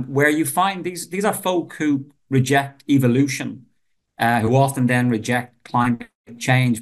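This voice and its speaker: Earnest Dublin-accented man. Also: mid-range voice. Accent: Dublin Irish. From Ireland. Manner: earnest, repeats words as he starts sentences. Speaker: guest professor. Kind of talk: podcast interview conversation.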